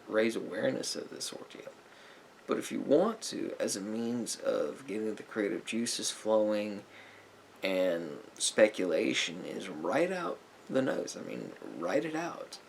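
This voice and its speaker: A man playing a sympathetic therapist in an ASMR roleplay, giving gentle advice in a halting, repetitive, hedging way. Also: lowish voice, lively intonation, vocal fry, slight background noise, low-quality recording. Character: calm, sympathetic male therapist counselling a client.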